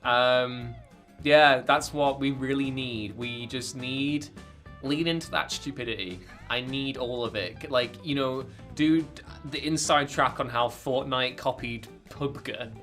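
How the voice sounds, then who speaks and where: Bright-voiced man riffing on a podcast. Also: medium pitch, a little slow, soft background music. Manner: animated, joking, rambling riffs. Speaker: podcast co-host. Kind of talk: casual podcast chat.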